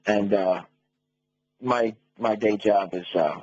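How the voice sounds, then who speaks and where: A middle-aged American man with a very low voice gives a conversational interview. He explains things matter-of-factly over a muffled phone line with some light noise on it. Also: steady tone, slow, a little mellow. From United States. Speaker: phone-in interview guest, race car builder. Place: phone call to a radio show. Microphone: telephone.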